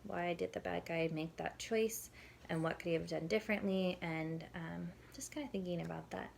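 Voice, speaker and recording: A woman's voice: lowish voice, steady tone, moderate pace, gravelly, a little hiss or hum. A woman speaking as a parenting educator, giving an informal, conversational lesson and explaining as she goes. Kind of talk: informal instructional talk with demonstrated questions.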